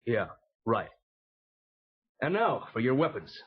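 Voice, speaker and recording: A man playing a steady, authoritative military commander giving a matter-of-fact briefing in a children's audio story. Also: mid-range voice, takes it slowly, slightly rough, heavily acted. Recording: dull vintage cassette.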